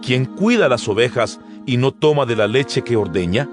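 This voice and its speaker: An actor playing an earnest apostle addressing his congregation in a measured, emphatic, didactic dramatized scripture reading. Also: medium pitch, expressive, lightly acted. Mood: emphatic, defensive. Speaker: narrator of an audio Bible. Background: background music.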